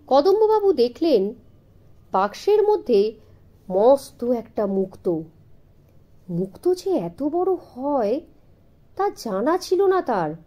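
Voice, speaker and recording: Woman narrator, children's storytelling, high voice, highly animated intonation, deliberate dramatic pauses, mellow tone.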